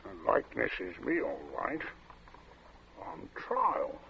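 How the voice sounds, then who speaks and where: Gravelly, brooding, slow-talking man. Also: medium pitch, wildly swooping pitch, full character voice. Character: brooding, evasive man oppressed by heat. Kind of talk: 1940s radio acting. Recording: muffled, noisy vintage radio recording.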